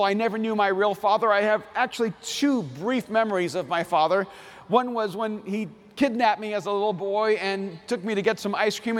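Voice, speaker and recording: A man's voice: high-pitched, in a large hall. A man from El Salvador whose first language is Spanish, preaching a sermon, reading scripture and then telling his own story in an earnest, personal way.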